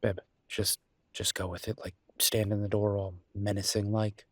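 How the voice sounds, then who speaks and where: A man playing an easygoing, teasing chef boyfriend in an intimate audio roleplay, chatting with affectionate, playful exasperation in a husky voice. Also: lowish voice, level tone, moderate pace, no echo, natural voice. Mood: playful, coaxing. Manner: conversational, playful, exasperated banter.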